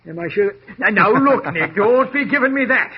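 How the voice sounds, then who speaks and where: An actor playing a blustery, folksy, indignant police lieutenant in a 1940s radio drama, exclaiming in colloquial speech. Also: male voice, high voice, animated, normal speed. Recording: muffled, noisy old radio recording.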